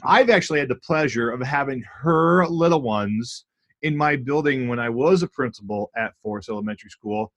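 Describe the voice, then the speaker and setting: A man who hosts a school podcast and used to be a principal, asking loose, rambling questions in an upbeat, enthusiastic way. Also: fairly high pitch. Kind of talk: casual podcast interview.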